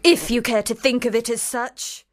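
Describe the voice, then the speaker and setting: Actress as a high-voiced, prim eldest sister. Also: female voice, steady tone, normal speed, close to an everyday voice. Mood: guarded. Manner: formal, admonishing. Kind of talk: radio drama acting.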